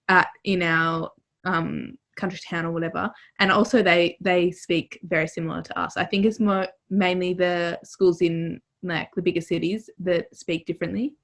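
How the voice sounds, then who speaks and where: A woman's voice: on the low side, fairly bright tone. A teenage woman with a broad Australian accent, co-hosting a casual podcast chat, giggly and teasing. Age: teens. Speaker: podcast co-host.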